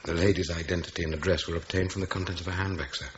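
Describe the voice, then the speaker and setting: An actor playing a formal, unruffled detective chief inspector in a radio crime drama, matter-of-fact and official. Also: male voice, deep voice, steady tone, rapid-fire, lightly acted. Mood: formal, factual. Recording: dull lo-fi audio, faint noise.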